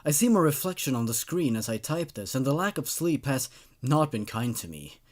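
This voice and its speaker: A man's voice: mid-range voice, sing-song, moderate pace, close to an everyday voice. A man narrating a first-person horror story as a sleepless, paranoid person confessing a creeping dread. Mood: haunted, uneasy.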